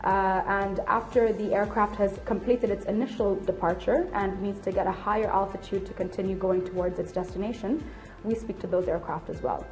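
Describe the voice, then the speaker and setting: A woman's voice: mid-range voice, fairly even intonation, normal speed, hardly any pauses, darkish tone, faint music behind. A woman in her late twenties giving candid, articulate explanations in an interview. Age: late 20s. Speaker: air traffic control officer and instructor being interviewed.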